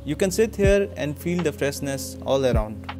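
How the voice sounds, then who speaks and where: A man's voice: fairly high pitch, animated, moderate pace. A man with an Indian accent reading scripted travel narration as a vlogger. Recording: loud music under voice-over. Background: loud music.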